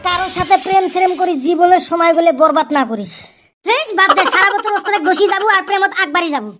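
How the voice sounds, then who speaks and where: A man voicing a cheeky, bickering village lad in a comic cartoon, with a pitched-up voice. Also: expressive, quick pace, full character voice. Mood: mock-earnest. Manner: teasing, argumentative banter. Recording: loud music under the voice. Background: loud music.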